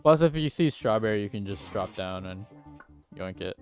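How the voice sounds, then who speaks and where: A man's voice: fairly low pitch, expressive, normal speed, darkish tone, soft background music. Rambling young man streaming a game. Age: 20s. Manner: rambling, self-mocking, laughs at himself. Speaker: livestreamer. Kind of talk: casual game livestream chat. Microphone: headset mic at desk.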